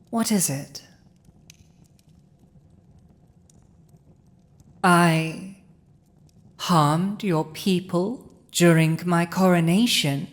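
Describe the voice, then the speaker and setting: Villainous dragon usurper, played by a woman: slightly low female voice, drawn-out delivery, haughty, gloating, possessive, for fantasy audio roleplay. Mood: amused, condescending. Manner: slow, imperious, teasing, with lingering pauses. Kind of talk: dramatic roleplay audio addressed to the listener.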